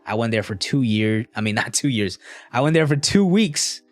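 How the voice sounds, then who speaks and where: Young guy rambling to his live chat, slangy and chill, mid pitch, dry sound with soft music under it. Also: animated, normal speed, dry recording. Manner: laid-back, slangy, rambling. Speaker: streamer answering live chat. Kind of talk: casual live stream chat.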